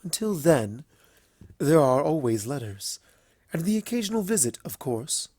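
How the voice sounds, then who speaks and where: A man voicing a wise, fond and teasing elder pony princess in a storybook reading, gentle and knowing. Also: on the high side, big pitch swings, normal speed, husky, no room sound. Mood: gentle, assured.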